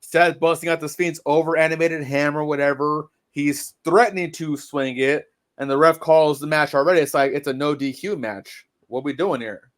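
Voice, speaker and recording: A man in casual podcast chat, measured and reflective, often stammering into his points. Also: a little high. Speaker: podcast co-host.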